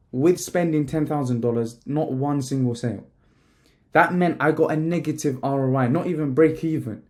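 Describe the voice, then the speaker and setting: A young man from Palestine talks straight to the camera, emphatic and full of slang. His voice is mellow and relaxed, sitting at an ordinary middle pitch. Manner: casual, emphatic, fast-talking with slang. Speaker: online business coach. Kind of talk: talking-head vlog to camera.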